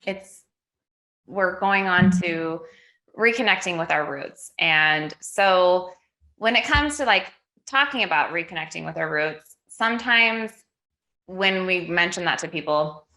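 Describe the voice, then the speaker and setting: Lowish bright woman cohost, chatty with likes and ums, echoey room. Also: unhurried. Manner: leads the topic, chatty with fillers. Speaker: podcast co-host. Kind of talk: casual chat.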